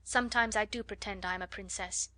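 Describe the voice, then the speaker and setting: A woman voicing a kind, composed young girl who speaks steadily and calmly. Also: mid-range voice, fast talker, no room sound, natural voice. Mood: firm. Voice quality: steady, calm.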